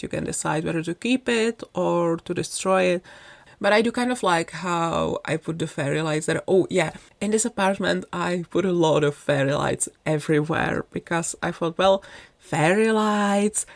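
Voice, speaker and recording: A woman's voice: lowish voice, vocal fry, no echo. Chatty young woman with a Czech accent. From Czech Republic. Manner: chatty, rambling, self-deprecating, with light laughs. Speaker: Sims speedbuild YouTuber. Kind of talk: casual voice-over commentary.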